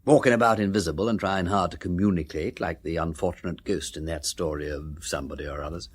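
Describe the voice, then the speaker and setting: An actor playing a jaunty, inquisitive aristocratic sleuth in a radio drama, with an upper-class English accent and breezy old-fashioned slang. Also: male voice, low-pitched, fairly even intonation, fast talker, slightly rough. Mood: pondering, brisk.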